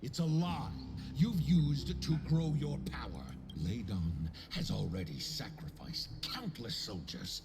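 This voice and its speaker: A man's voice: medium pitch, animated, slow pace, gravelly, music in the background. An actor playing an indignant male delegate in a fantasy game, making impassioned, accusing speeches in formal language. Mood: outraged, accusing. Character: indignant male allied delegate, defiant rebel orator.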